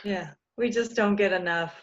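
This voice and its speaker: A woman's voice: medium pitch, normal speed, lo-fi sound. A woman chatting upbeat on a group video call, laughing easily. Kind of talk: casual group call chat.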